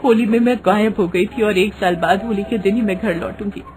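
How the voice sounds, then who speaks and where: A woman's voice: mid-range voice, brisk, darkish tone, lightly acted. A woman voicing a destitute, devout young pregnant wife in a plaintive, beseeching way for a story. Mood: hopeful.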